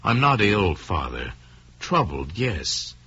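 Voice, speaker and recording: A man playing a troubled young man who feigns earnest concern, halting and pleading, in an old-time radio drama. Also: low-pitched, very animated, bright, forceful tone, slightly rough, lo-fi sound, heavily acted. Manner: halting, pleading, repeats himself.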